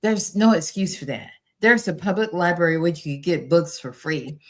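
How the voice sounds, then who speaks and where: Low-pitched woman giving earnest practical advice. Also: lively intonation, normal speed. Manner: earnest, instructive, listing practical tips. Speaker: guest literacy expert. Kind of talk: conversational advice on an interview show.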